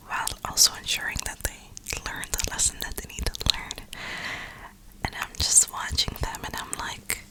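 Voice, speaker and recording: A woman whispering a reflective, rambling ASMR monologue in a breathy, unhurried way, with drawn-out pauses. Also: poor audio. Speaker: ASMR video creator.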